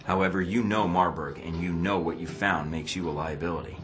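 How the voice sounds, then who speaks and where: Male actor for a cool, sardonic game spy: very low voice, controlled and terse, over prominent music. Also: steady tone, fairly quick, lightly acted. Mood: firm, persuasive. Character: cool, guarded, dry-witted male spy operative. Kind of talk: video game dramatic dialogue. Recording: music playing behind the voice.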